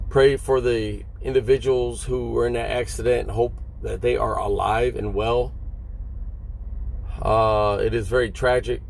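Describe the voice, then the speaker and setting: A man, a long-haul trucker, talks casually and haltingly to his viewers from inside a parked truck cab, explaining things and checking in with short questions. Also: on the low side, creaky, darkish tone. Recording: vehicle noise behind the voice.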